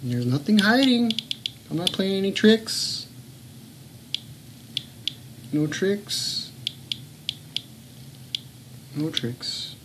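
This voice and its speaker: A man's voice: high voice, sing-song, very slow, long pauses, noisy background. A man filming at home, talking in a blunt, earnest way and swearing now and then. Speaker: home video blogger. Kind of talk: casual filmed commentary. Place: home room.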